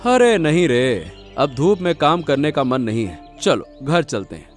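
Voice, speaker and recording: A man's voice: fairly high pitch, big pitch swings, a little slow. A man playing a poor, bereaved village farmer in a Hindi cartoon story, with earnest, emotive, folksy delivery. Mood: puzzled, casual. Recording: music playing behind the voice.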